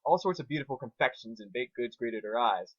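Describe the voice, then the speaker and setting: A man with a mid-pitched, very animated voice works as reader and co-host. His delivery is an informal dramatic storytelling style. The recording is dry and slightly lo-fi. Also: brisk, slightly rough, no room sound.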